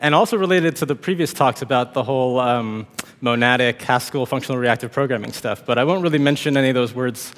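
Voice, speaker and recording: A man in his thirties, slightly hoarse from a cold, gives a technical conference talk at a podium. His middling pitch sits easily, and he talks in a relaxed way with plenty of fillers and restarts. The sound carries the long reverb of a big hall. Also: fast, a little hiss or hum. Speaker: conference speaker. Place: large conference hall stage.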